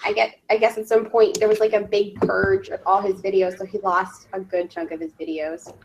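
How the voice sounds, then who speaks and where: A woman's voice: mid-range voice, level tone, fairly quick, vocal fry, background noise. A woman who is a native Spanish speaker, hosting a casual interview in an informal, agreeable way. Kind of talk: casual online interview chat.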